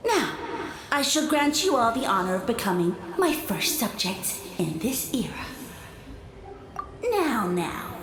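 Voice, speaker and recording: Pompous, swooping high-pitched mushroom sage. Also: female voice, echoey room. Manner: haughty, grandiose, formal diction. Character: pompous talking mushroom, self-styled sage lord of fungi. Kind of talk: video game voice acting.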